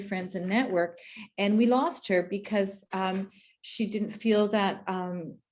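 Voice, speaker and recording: A woman's voice: medium pitch, a little mellow. A woman from Quebec speaks on an education panel in a mid-range voice. She talks earnestly and conversationally, backing up and restarting phrases as she goes. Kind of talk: panel discussion.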